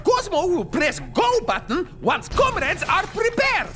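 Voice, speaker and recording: A woman's voice: extremely high pitch, very animated, unhurried, loud music behind the voice, full character voice. A woman voicing a cheerful, eager talking space dog with a Russian accent and broken English in a video game. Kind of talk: video game cutscene acting.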